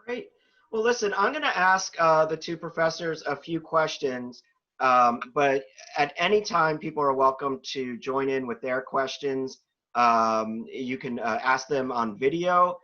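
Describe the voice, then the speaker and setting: A man hosts a video call and speaks in a hesitant way, filling pauses with ums and uhs. His voice sits at a middle pitch. The audio is dull and lo-fi. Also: bright, forceful tone. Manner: hesitant, many fillers. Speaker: host, admissions dean.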